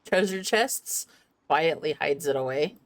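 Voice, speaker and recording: A woman streamer giving casual, playful game commentary, jokey and quick to swear. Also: sits low, expressive.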